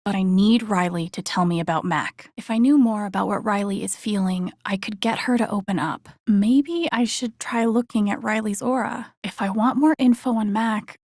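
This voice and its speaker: Video game lead: actress as wry young woman, empathetic amateur sleuth, casual inner monologue, creaky voice, very dry close recording. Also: medium pitch, moderate pace, few pauses, darkish tone, dry recording, natural voice. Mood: thoughtful. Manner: casual, muttering to herself, dry humor.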